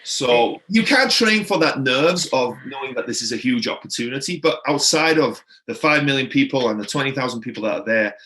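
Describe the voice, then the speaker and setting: A man's voice: mid-range voice, animated, brisk, hardly any pauses, fairly bright tone. Welsh guy chatting on a podcast, rambly, lots of likes and rights, mid voice. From Wales. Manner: rambling, filler-heavy, frequent "right?" and "like". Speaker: podcast guest. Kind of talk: casual interview storytelling.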